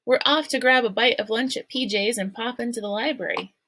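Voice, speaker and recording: A woman's voice: on the high side, brisk, fairly bright tone, a little hiss or hum, voice pushed up. Woman doing a breezy chatty mom. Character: cheerful breezy mother, a novelist.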